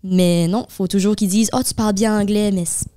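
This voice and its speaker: A young woman with an Acadian accent chats easily on a podcast, at an average pitch. She leans on fillers and drops English words like "basically" and "so" into her French. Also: steady tone, brisk, warm tone. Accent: Acadian French, New Brunswick. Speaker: podcast co-host. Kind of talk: casual chat.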